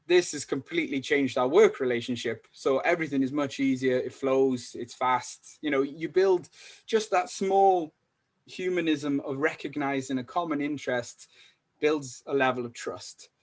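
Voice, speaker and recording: A man from Wales, a salesperson chatting as a podcast guest, rambling with many fillers and restarts. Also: medium pitch. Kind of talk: casual interview chat. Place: lives in Sweden.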